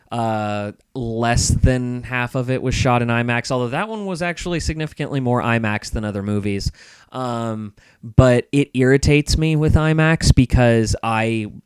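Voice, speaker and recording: A man's voice: mid-range voice, clean tone, no echo. An American man giving opinionated, explanatory takes as a guest film reviewer in a casual podcast discussion.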